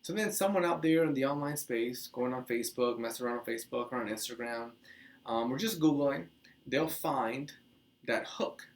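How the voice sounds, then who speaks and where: A man's voice: mid-range voice, moderate pace. Chatty man teaching to his webcam. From Dominican Republic. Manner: animated, gestures a lot, chatty with restarts. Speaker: host. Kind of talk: casual teaching monologue to camera. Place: small office with couch, indoors.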